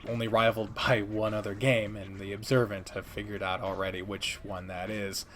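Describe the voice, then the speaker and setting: A man's voice: fairly low pitch, a bit bright, slight background noise. A man giving opinionated, conversational review commentary as a video creator, speaking emphatically with dramatic build-ups and casual swearing. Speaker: video creator ranking favorite games.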